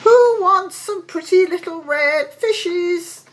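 Woman putting on a scheming old magician, slow-spoken. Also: medium pitch, sing-song.

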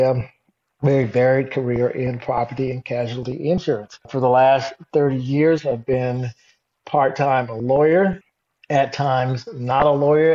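A man's voice: medium pitch, mellow, lo-fi sound. A man in his fifties from Texas, with a Texan accent, giving a conversational conference talk with frequent fillers. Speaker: guest speaker, insurance executive.

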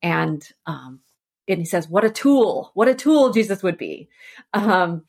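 A woman with a slightly low voice talks as an interview guest. She explains a literary work conversationally, thinking things through and showing real enthusiasm for the subject. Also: sing-song, a little slow, breathy edge. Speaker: interview guest, author and editor. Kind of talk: conversational literary discussion on a podcast.

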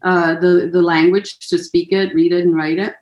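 A woman's voice: fairly low pitch, level tone, moderate pace, a little mellow, roomy sound, dull audio. A German-born woman in her fifties, whose first language is German, talking through her thoughts in an interview with frequent restarts and fillers. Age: 50s. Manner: hesitant, many restarts and fillers. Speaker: author guest. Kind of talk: conversational interview.

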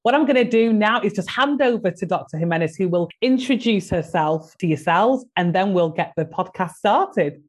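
A woman's voice: mid-range voice, expressive, normal speed, hardly any pauses, breathy edge. A woman hosting a podcast interview, enthusiastic and encouraging toward her guest. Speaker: podcast host.

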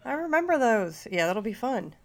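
A woman's voice: a little high, very animated, normal speed. A middle-aged woman hosting a podcast, talking casually, nasal and stuffy from a cold, rambling with many fillers. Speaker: podcast host.

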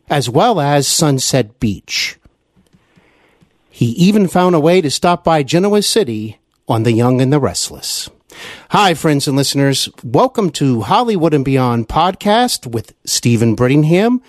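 A man's voice: mid-range voice, dry recording, studio quality. A man hosting a podcast, effusive and emphatic, delivering introductions and interview talk. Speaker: podcast host.

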